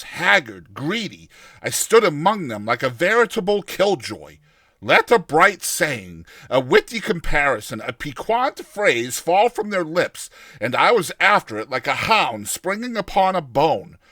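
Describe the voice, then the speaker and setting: A man reading a classic short story aloud as a dramatized first-person narration, with a theatrical, expressive delivery. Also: fairly high pitch, no room sound.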